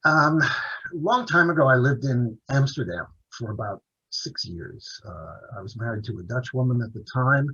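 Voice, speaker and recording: Husky-voiced man on a video call. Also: medium pitch, moderate pace, pushed and bright, lo-fi sound. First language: English. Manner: rambling storytelling with frequent restarts and fillers. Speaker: author and translator, interview guest. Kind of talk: conversational interview over video call.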